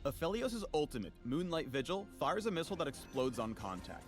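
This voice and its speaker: Man, scripted game-guide narrator, fast delivery, animated intonation, music bed. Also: on the high side.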